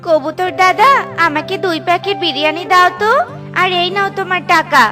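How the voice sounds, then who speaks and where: A woman's voice: very high-pitched, a little fast. A woman voicing a poor widowed mother bird, pleading and tender with her children. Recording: cartoon with music behind.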